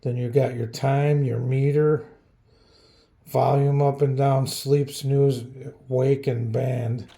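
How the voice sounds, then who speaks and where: A man giving a casual, unscripted product review in a halting, offhand, plain-spoken way. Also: medium pitch, little pitch movement, creaky, warm tone.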